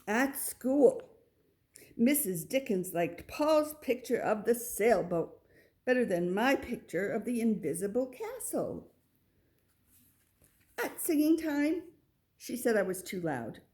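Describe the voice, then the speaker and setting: A woman reading a children's picture book aloud, with expressive delivery and little asides to the listener. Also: a little high, slow pace, pauses often. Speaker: storybook reader.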